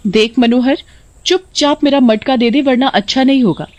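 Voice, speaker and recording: High, indignant young village girl , acted by a woman. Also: normal speed, a little mellow, muffled recording, natural voice. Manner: scolding, threatening.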